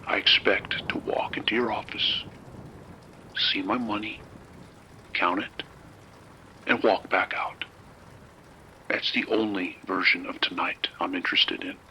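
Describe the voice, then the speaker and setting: An actor playing a cold, menacing crime boss in an audio drama, with a rough, husky voice and a measured, blunt, threatening manner. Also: male voice, low voice, pushed and bright, a little hiss or hum, heavily acted, low-quality recording.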